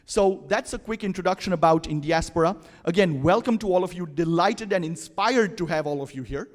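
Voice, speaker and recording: A man giving relaxed, chatty opening remarks at a conference, with fillers and questions to the audience. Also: high voice, brisk. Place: conference hall. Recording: long hall reverb.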